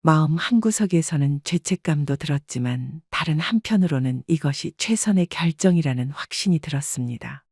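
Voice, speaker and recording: A woman narrates a first-person story in a calm, even, reflective scripted reading. Her voice has a soft, breathy edge, and the recording is dry and close. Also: low-pitched, moderate pace, hardly any pauses, dry recording.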